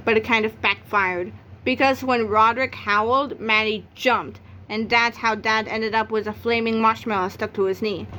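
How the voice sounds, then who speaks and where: Female narrator for a children's comic novel read-aloud, voice a little high, recorded with some background noise. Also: fairly even intonation, moderate pace. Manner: acts out sound effects and exclamations. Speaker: book narrator.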